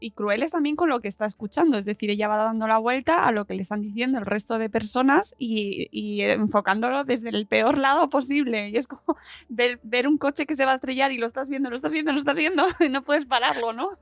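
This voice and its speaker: Female host, Spain accent, slightly high pitch. Casual interview chat, halting and repetitive phrasing. Dry, close sound. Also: dry recording. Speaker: podcast host.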